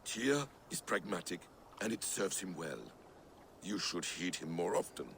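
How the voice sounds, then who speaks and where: A man's voice: deep voice, unhurried, fairly bright tone, a little hiss or hum. A man voicing a scheming trickster god in a video game, with probing, mock-innocent questions. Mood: sly.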